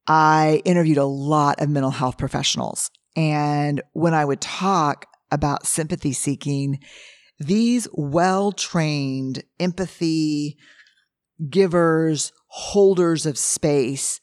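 A hoarse middle-aged woman, hosting a podcast and telling stories candidly, acting out what people say. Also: sits low, slow, dry recording, clean studio sound. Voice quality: husky. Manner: candid, animated storytelling with acted-out quotes. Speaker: podcast host. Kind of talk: casual solo talk.

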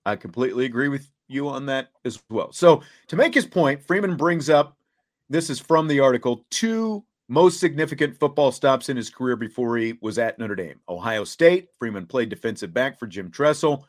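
A man hosting a sports talk show, reading and commenting in a deliberate way, laying out points step by step. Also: medium pitch, lively intonation.

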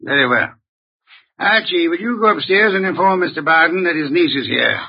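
Husky, imperious detective boss. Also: male voice, a little high, lively intonation, moderate pace. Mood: blunt, demanding. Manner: curt, pedantic, demanding. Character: brusque, imperious male genius detective boss. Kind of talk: old-time radio detective acting. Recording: dull, muffled vintage radio recording.

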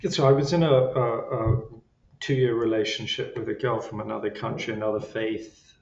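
A man's voice: fairly low pitch, fairly even intonation, moderate pace, gravelly, darkish tone. A man who grew up in Switzerland, a psychotherapist guest, speaking hesitantly with many fillers and restarts. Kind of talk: interview conversation. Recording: dull lo-fi roomy sound.

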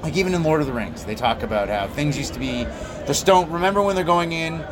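A man from Belgium, a comic writer, answering interview questions in a relaxed, storytelling way with lots of "like"s. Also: a little high, quick pace, background noise.

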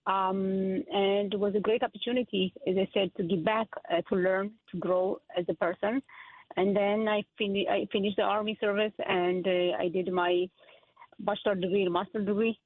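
A woman in her thirties, born in Ethiopia and raised in Israel, answering interview questions as a political candidate, with halting speech full of fillers and restarts. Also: mid-range voice, level tone, no echo. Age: 30s. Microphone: telephone. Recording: tinny phone line.

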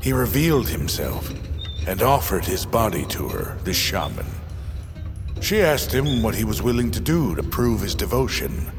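An actor playing a gruff, fond older working man and storyteller in an audio drama, with a slow, solemn delivery. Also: on the low side, very animated. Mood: solemn storytelling. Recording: long reverb over loud music.

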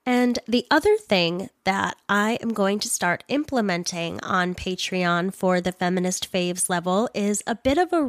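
A woman's voice: medium pitch, no room sound, clean studio sound. A woman with a head cold, sounding congested, chatting casually and a little apologetically as a solo podcast host.

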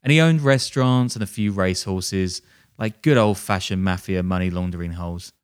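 A man's voice: low-pitched, brisk, clean tone. A man hosting a podcast, telling a crime story casually with jokey, sardonic asides. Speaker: podcast host.

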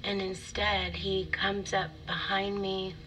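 An actress playing a wistful woman in an intimate audio drama monologue, confessional and halting, with a breathy delivery. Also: medium pitch, little pitch movement, fairly bright tone, a little hiss or hum, poor audio. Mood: intimate, tender. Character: wistful, intimate divorced woman confiding a fantasy.

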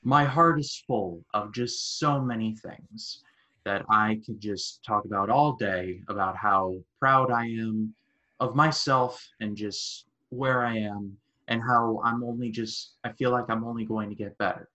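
A man's voice: fairly low pitch, steady tone, a little slow, bright, forceful tone, low-quality recording. A man in his twenties co-hosting a podcast, chatting casually with rambling asides and corny jokes. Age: 20s. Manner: rambling, jokey, self-deprecating puns. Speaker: podcast co-host. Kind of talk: casual chat.

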